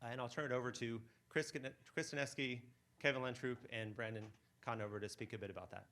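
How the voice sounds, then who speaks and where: A man's voice: medium pitch, steady tone, fast talker, fairly bright tone, slight background noise. A man hosting an event, giving earnest opening remarks to an audience. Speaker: event host for a defense innovation office. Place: event space.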